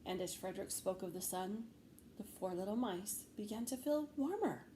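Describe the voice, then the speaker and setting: A woman reading a children's story aloud expressively, with measured phrasing and deliberate pauses. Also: medium pitch, expressive, mellow. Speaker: storybook reader, teacher. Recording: faint background noise.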